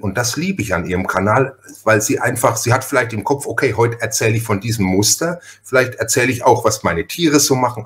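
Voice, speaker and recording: A man hosting a podcast interview in a casual, chatty and wordy way, often interrupting himself and repeating words. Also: medium pitch.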